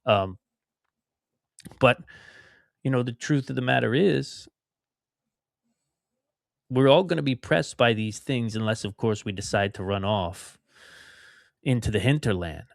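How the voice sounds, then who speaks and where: A man's voice: mid-range voice, a little slow, vocal fry, pauses often, no echo, clean studio sound. A middle-aged man from outside Philadelphia hosting a solo podcast, talking in a rambling, conversational way with emphatic repetitions. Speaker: podcast host.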